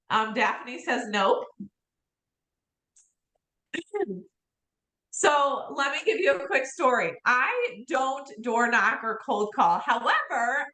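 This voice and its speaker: A woman hosting a training livestream, chatty with a few fillers and hoarse because she is losing her voice. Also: fairly high pitch, pushed and bright, reverberant, low-quality recording. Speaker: host of a real estate training livestream.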